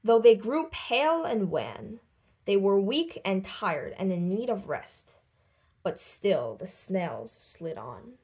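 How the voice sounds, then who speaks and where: A woman reading a children's book aloud expressively, with deliberate, dramatic phrasing and lifted voices for dialogue. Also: medium pitch, sing-song, a little mellow. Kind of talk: expressive storybook read-aloud.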